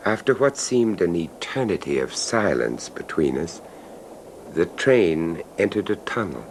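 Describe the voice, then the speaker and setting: An actor playing an attentive train passenger who questions and narrates in a deliberate, dramatic manner in a 1970s radio drama. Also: male voice, low voice, very animated, a little hiss or hum. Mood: ominous, stunned. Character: attentive male train passenger, listener and storyteller.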